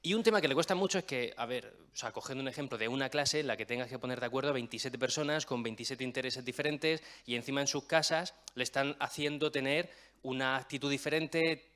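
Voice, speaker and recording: A man's voice: mid-range voice, fairly bright tone. A young man from Murcia who has lost his regional accent, giving a chatty explanatory public talk to an audience. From Murcia, Spain. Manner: chatty, explanatory, self-deprecating asides. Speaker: guest speaker.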